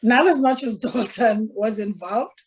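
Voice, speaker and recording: An older woman from Trinidad with a Trinidadian accent, answering interview questions in a soft, hesitant way with many pauses. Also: a little high, slightly rough, poor audio. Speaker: interviewee, mother of a footballer. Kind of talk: casual interview.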